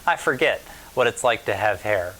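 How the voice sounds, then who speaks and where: A man reading a children's picture book aloud as a pastor at storytime, in measured short phrases with pauses. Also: lowish voice, lively intonation, a bit bright, slightly rough, rough recording.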